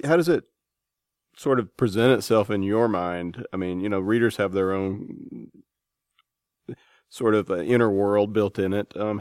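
A man's voice: fairly low pitch, a little mellow, dry recording, studio quality. A man hosting a casual interview, phrasing his questions in a hesitant, searching way. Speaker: interviewer, podcast host.

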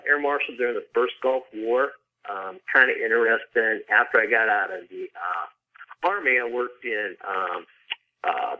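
A middle-aged man giving casual, rambling interview answers with frequent hesitations, speaking as a guest over a call. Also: fairly low pitch. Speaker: podcast guest, author and consultant. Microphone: phone or remote call. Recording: narrow, tinny phone-line sound.

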